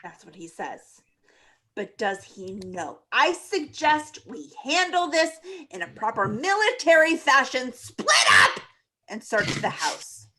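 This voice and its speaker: A woman playing a blustering, pompous colonel in a comedy table read, forceful and exasperated. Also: sits high, sing-song, unhurried, heavily acted, low-quality recording. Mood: blustering, decisive. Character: blustering military colonel, impatient, suspicious and pompous.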